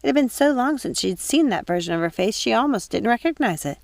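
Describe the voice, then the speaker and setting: A woman's voice: a little high, expressive, fast, gravelly, no echo. A woman reading an audiobook as a dramatic, suspenseful narrator. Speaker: audiobook reader. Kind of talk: dramatic scripted story narration.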